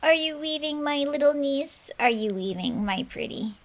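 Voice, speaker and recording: A woman voicing a wicked old witch with iron teeth in a storybook, speaking slowly and slyly. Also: sits high, lively intonation, pitched above the performer's own voice. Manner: sly, slow and menacing.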